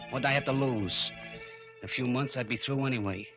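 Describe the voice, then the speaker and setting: A man's voice: medium pitch, a little fast, bright, forceful tone, muffled recording. An American actor playing a tough, brooding gangster trigger man telling his story in an old-time radio crime drama. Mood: brooding, cocky. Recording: old radio broadcast with music behind.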